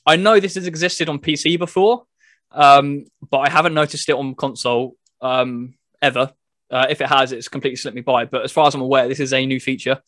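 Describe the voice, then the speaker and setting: A man's voice: mid-range voice, fairly even intonation, a little fast, a bit bright, no echo, clean studio sound. A man co-hosting a gaming podcast, chatting casually and explaining things step by step. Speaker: podcast co-host reviewing a video game. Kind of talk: casual chat.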